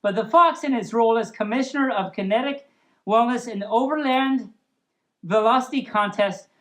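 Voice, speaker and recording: A woman reading a story aloud as a storytime host, playful and silly in an expressive way. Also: fairly high pitch, moderate pace.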